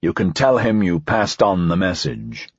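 Audiobook senior officer, man acting: low, husky, terse, world-weary, dryly sardonic authority. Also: unhurried, dry recording, highs cut off, heavily acted. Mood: dry, curt. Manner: terse, dry, formal. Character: weary, stern male senior police prefect, dryly sardonic. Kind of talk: audiobook character dialogue.

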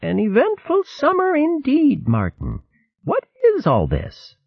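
A man's voice: very high voice, huge pitch swings, unhurried, creaky, breathy edge, no room sound, lo-fi sound, voice pushed up, exaggerated voice. Abbess Germain, a frail old mouse, as a man puts her on. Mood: gentle. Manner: gentle, dignified, soft-spoken. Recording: dry, thin, band-limited recording.

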